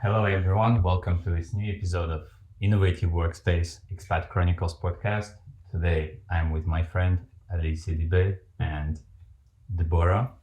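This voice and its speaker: A man with a very low-pitched voice hosts a podcast interview. He asks his guests friendly, open questions. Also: steady tone, moderate pace, a little mellow, low-quality recording. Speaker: podcast host. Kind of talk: hosting an interview.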